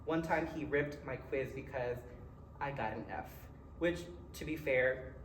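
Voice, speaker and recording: A man's voice: medium pitch, unhurried. A man with a Philadelphia accent giving a personal keynote speech in a conversational way, with short dramatic phrases and wry asides. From Philadelphia area, United States. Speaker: keynote speaker. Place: college event room. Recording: roomy, distant mic with faint noise.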